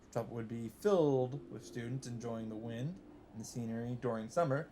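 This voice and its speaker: A man hosting a let's play, reading visual novel text aloud in an even voice and breaking in with blunt, swearing asides. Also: mid-range voice, a little fast, a little hiss or hum. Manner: reads narration evenly, breaks in with swearing asides.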